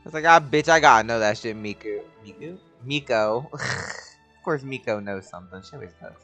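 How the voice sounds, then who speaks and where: A woman with a very low voice comments casually over a game. Intonation is lively and the manner slangy and giggly, with game music playing behind. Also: a little fast. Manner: giggly, slangy, reacting in short remarks. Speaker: game streamer. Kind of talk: casual gameplay commentary and line reading.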